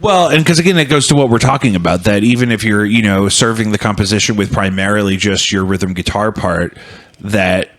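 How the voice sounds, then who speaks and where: A man's voice: lowish voice, a little fast, fairly bright tone. A man hosting a casual interview chat in a home studio, talking thoughtfully and hesitantly with frequent ums and restarts. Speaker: podcast host.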